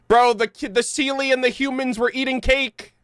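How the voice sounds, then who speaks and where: A man streaming a game, giving slangy casual commentary and thinking out loud. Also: very high-pitched, steady tone, unhurried, clear, resonant tone, no echo. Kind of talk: casual playthrough commentary, reading game text aloud. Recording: game music behind voice. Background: game music.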